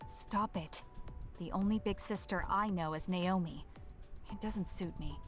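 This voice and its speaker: Game role: reserved young female fighter, woman actor, lower register, matter-of-fact, quietly caring, over background music. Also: lightly acted. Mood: quietly reflective. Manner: measured, matter-of-fact, understated. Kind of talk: English video game cutscene voice acting.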